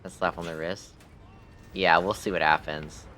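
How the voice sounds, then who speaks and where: A man streaming a game talks to his viewers in loose slang. His voice sits fairly low and cuts through brightly. He is crude and jokey, and tends to repeat himself as he chats. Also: steady tone, normal speed, slight background noise. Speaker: livestreamer playing a game. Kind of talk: casual chat with stream viewers.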